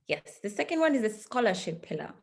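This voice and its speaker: A woman from Kenya, founder of a nonprofit, giving an earnest, measured presentation on a video call with frequent fillers. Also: mid-range voice, big pitch swings, creaky. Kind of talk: online conference presentation.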